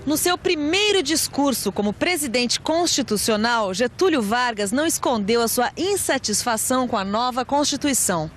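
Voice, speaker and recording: High-voiced Brazilian woman narrating history over music. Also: expressive, moderate pace, few pauses. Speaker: documentary narrator.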